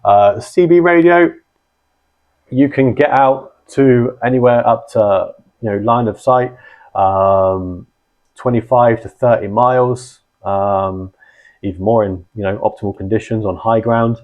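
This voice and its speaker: A man's voice: fairly low pitch, unhurried, phone-quality sound. A man hosting a hobby channel, explaining things casually and informally in a rambling way, repeating points for emphasis. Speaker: host of a radio hobby channel. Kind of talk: casual explainer talk to viewers.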